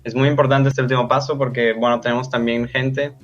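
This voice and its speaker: A man's voice: medium pitch, little pitch movement, a little fast, soft background music. A twenty-six-year-old man, a podcast guest explaining things casually with fillers. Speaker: guest, national society president. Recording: muffled lo-fi mic.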